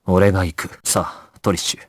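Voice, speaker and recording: An actor playing a calm, commanding young gangster squad leader in an anime video game, grave and decisive, giving clipped orders. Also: male voice, deep voice, moderate pace, dry recording, studio quality.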